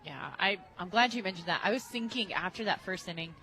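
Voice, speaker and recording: A young American woman giving informal, anecdotal color commentary on a live softball broadcast. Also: lowish voice, a little fast, low-quality recording. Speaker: color analyst, former player. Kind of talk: casual live sports commentary. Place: outdoor stadium radio booth.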